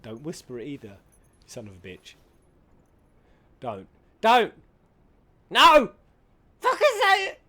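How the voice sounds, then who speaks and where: A man hosting a reaction video, excitable and swearing, shouting at the screen and at times dropping to a whisper. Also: high voice, slow, long pauses. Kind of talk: live reaction commentary. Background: game cutscene audio and music.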